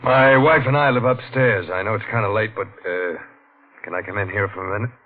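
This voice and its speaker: An actor playing a tough, suspicious working-class American husband in a 1940s-style radio mystery, gruff and terse as he probes for answers. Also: fairly low pitch, a little fast, lightly acted. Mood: guarded politeness. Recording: muffled, tinny old radio recording.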